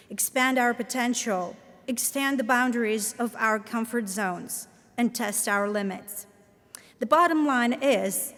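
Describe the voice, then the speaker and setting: A woman in her thirties with a Latvian accent, giving a formal commencement address in a measured, earnest, deliberate way. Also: fairly high pitch. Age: 30s. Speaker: commencement speaker, professor. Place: large ceremony hall. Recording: reverberant hall sound.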